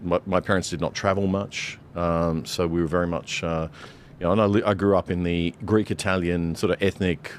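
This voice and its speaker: A man's voice: low voice, flat delivery, fairly quick, slight background noise. A middle-aged Australian man, a broadcaster on a discussion panel, talking emphatically with many fillers and restarts.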